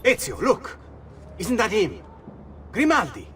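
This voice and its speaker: An actor playing an earnest, idealistic Venetian thief leader with an Italian accent, speaking rhetorically in a video game. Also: male voice, high-pitched, huge pitch swings, measured pace, bright, forceful tone, slight background noise, exaggerated voice. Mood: eager. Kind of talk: dramatic video game acting.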